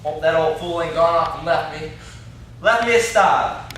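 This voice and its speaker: A man's voice: a little high, moderate pace, a bit bright, natural voice. A young man playing an indignant, blustering country captive in an amateur stage play, with a folksy, complaining, threatening way of talking. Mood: frustrated. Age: young adult. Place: classroom-like room, indoors. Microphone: far camera. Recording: echoey room, distant camera mic, faint noise.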